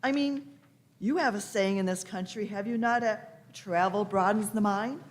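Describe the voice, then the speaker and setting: A woman's voice: medium pitch, normal speed, lightly acted. A woman playing an eccentric, merry old lady time traveller in a radio play, with grand old-fashioned phrasing and repeated words. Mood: amused, lofty. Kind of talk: live radio-play acting.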